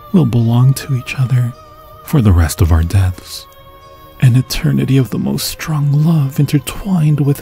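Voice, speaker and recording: A man's voice: mid-range voice, creaky, mellow. A man voicing an obsessive undead ghost lover in an ASMR fantasy roleplay, theatrical and solemn, menacing yet tenderly possessive. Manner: theatrical, slow, archaic solemn phrasing.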